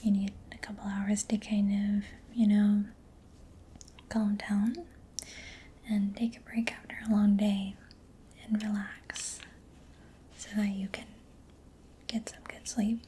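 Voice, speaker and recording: A woman doing a soft-spoken ASMR roleplay as a mechanic, in a breathy whisper with a gentle, hesitant, reassuring manner. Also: mid-range voice, flat delivery, takes it slowly, pauses often.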